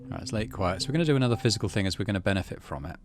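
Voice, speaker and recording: A man's voice: on the low side, expressive, racing, noisy background. A man hosting a video game playthrough, reading the story text aloud and adding casual commentary. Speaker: gaming channel host.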